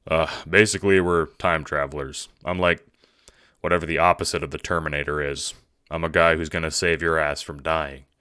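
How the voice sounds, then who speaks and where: A man voicing a laid-back, sarcastic teenage boy in an audio drama, deadpan and slangy. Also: a bass voice, normal speed, smooth, no room sound, clean studio sound.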